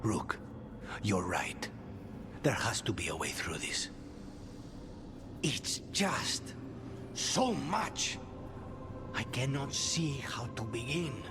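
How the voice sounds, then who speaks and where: A tormented, brooding game assassin. He speaks slowly and haltingly, often dropping to a hushed near-whisper, with pitch that moves a lot. Music sits prominently behind him. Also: big pitch swings. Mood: overwhelmed. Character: weary, tormented, brooding male assassin trapped in his own mind. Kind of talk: dramatic video game voice acting.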